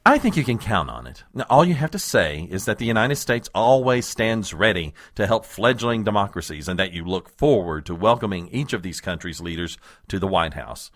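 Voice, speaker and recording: A man's voice: fairly low pitch, very animated, fairly bright tone, no room sound. A man playing a patient, weary presidential aide, the exasperated straight man of a comedy audio drama. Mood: patiently explaining. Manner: weary, patient, explaining slowly.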